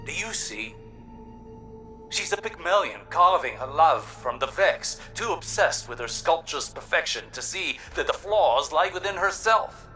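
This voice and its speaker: An actor playing an earnest, philosophical ally who gives grave, poetic counsel in dramatic scripted game dialogue. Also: male voice, a little high, expressive, a little slow, sharp tone, faint music behind, poor audio. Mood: earnest, mournful.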